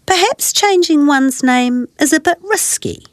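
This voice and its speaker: A woman voicing a gentle, miserable childlike toy bear in a children's story, plaintive and hesitant. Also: sits high, expressive, unhurried, no room sound, clean studio sound. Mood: rueful, hopeful. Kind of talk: children's storybook character reading.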